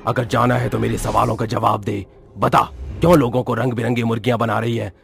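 A man's voice: medium pitch, level tone, brisk, creaky, fairly bright tone. A man voicing a stern male exorcist in a cartoon story, commanding and scornful.

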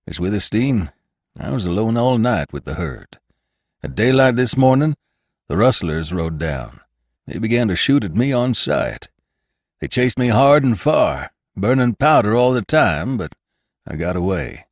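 A man's voice: fairly low pitch, very animated, a little slow, dry recording, clean studio sound, exaggerated voice. A man voicing a loyal, earnest cowboy rider in a western audiobook, with plainspoken, folksy phrasing. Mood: matter-of-fact. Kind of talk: audiobook character acting.

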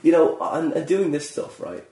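A man's voice: on the high side, big pitch swings, moderate pace, mellow. A man hosting a casual chat, emphatic and swearing freely. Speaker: podcast host. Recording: echoey room.

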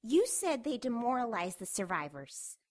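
A woman's voice: on the high side, very animated, moderate pace, a little mellow. An actress playing a feisty, squeamish, doubting woman in a radio drama. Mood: skeptical.

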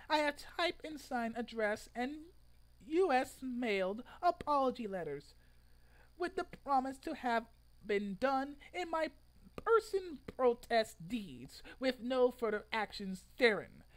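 Man acting high-pitched, melodramatic indignant protester. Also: sharp tone, clean tone, exaggerated voice. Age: young adult. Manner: melodramatic, pompous, stilted. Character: whiny, indignant, pompous young male internet protester, self-righteous. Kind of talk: mock dramatic reading. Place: living room at home, indoors.

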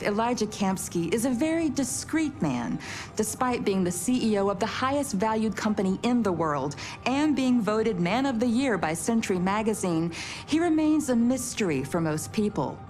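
A woman's voice: mid-range voice, a little mellow, natural voice. A woman playing a poised TV news reporter in a game, with formal, polished broadcast delivery. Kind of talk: broadcast news narration and interview.

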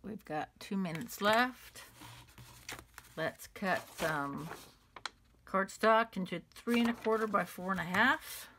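A woman's voice: slow, background noise. A woman hosting a paper craft video, talking casually to herself and counting off measurements as she cuts paper at her table. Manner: muttering measurements to herself, low-key. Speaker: paper craft channel host. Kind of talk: casual talk while cutting paper for cards. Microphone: overhead camera over a craft table.

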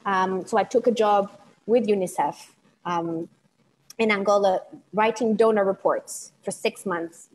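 A woman's voice: medium pitch, a little slow, a little mellow, a little hiss or hum, rough recording. A woman from Portugal, a guest speaker on an academic webinar, answering thoughtfully with frequent restarts over earphone mic on a video call. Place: home room with bookshelves. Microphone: wired earphones mic on video call.

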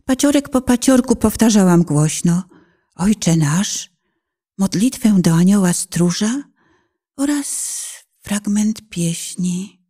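A woman narrator giving an impassioned, prayerful recitation of poetry in a religious radio play, with measured pauses. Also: mid-range voice, expressive, mellow, breathy edge.

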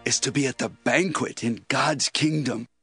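An actor playing a pious, exuberant banquet guest who declaims in a Bible audio drama. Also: male voice, mid-range voice, moderate pace, pushed and bright, faint music behind. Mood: exalted.

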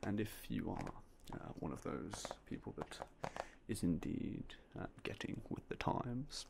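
A young man whispering softly and politely as a game shop clerk in an ASMR roleplay, close to a binaural mic. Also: low voice, lively intonation, measured pace, creaky, noisy background. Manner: quiet, whispery, polite shopkeeper patter.

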